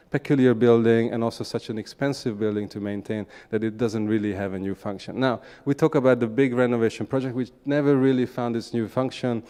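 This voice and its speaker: Male conference speaker, Hungarian accent, clean smooth voice at medium pitch, measured hesitant phrasing, long hall reverb. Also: mellow. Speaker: conference speaker, urban regeneration practitioner. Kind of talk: conference presentation, semi-spontaneous. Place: large indoor conference hall.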